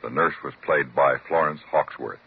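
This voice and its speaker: Male radio announcer, very deep voice, 1950s scripted delivery, thin narrow-band sound, music behind. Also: normal speed, gravelly, breathy edge. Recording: tinny narrow-band vintage radio recording.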